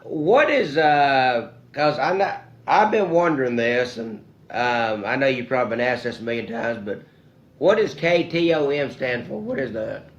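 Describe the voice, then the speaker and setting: A man's voice: medium pitch, lively intonation, moderate pace, muffled recording. A man in his thirties interviewing a guest, hesitant and losing his train of thought between questions. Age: 30s.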